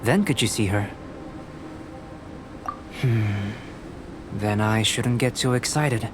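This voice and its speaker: An actor playing a calm, courteous young male alchemist in a video game, speaking in a measured, formal and thoughtful way. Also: lowish voice, background noise. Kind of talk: video game dialogue acting. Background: music.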